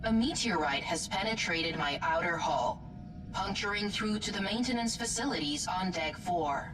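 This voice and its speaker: A woman voicing a spaceship's artificial intelligence in a sci-fi game, formal, measured and detached. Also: lowish voice, lively intonation, recorded from a distance, background noise, close to an everyday voice. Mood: calm, detached. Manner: formal, measured, clipped announcements. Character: calm female ship's computer AI, formal and detached. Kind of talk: video game voice acting, sci-fi horror.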